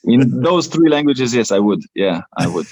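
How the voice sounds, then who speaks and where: A man's voice: mid-range voice, big pitch swings, moderate pace, darkish tone, breathy edge, no room sound, low-quality recording. A man from Romania whose first language is Romanian, talking as a podcast guest with many fillers and restarts. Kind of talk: casual interview talk.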